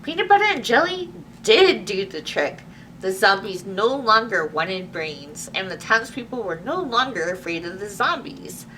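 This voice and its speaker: A woman's voice: mid-range voice, pushed and bright. A woman librarian hosting a children's story time, reading a picture book expressively with little asides to young listeners. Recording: distant mic with faint background noise.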